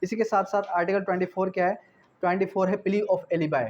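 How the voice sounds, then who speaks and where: A Pakistani man with a high-pitched voice delivers an exam-prep lecture. He explains didactically, repeating key phrases and answering his own questions, with English terms mixed in. The recording sounds dull and lo-fi. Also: fairly even intonation, fast talker. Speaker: law tutor.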